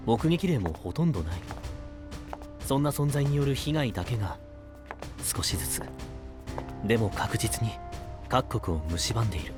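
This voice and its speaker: A man's voice: fairly low pitch, lightly acted. Composed, explanatory young knight, acted by a man over music. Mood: grave, explanatory. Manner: measured, explanatory, with deliberate phrasing. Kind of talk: Japanese anime-style voice drama acting. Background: prominent background music.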